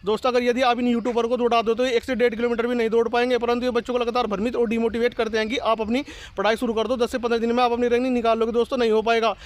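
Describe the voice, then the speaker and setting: A man's voice: extremely high pitch, level tone, racing. A man, hosting a study channel and giving informal, insistent advice straight to his viewers, often repeating his urging. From rural India. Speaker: YouTube study channel host. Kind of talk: informal advice to exam aspirants, addressed to viewers.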